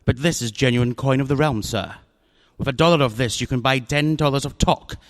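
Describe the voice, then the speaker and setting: An actor in a radio drama playing a pompous, jovial, cunning older crime boss who talks in a courtly, florid, theatrical way. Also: male voice, medium pitch, a little fast, natural voice. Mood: suave, bargaining.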